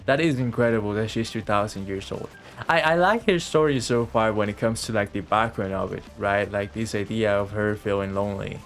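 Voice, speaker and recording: A man giving casual live commentary as a game streamer, halting and often repeating his phrases. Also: on the low side, fairly bright tone. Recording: game music behind the voice.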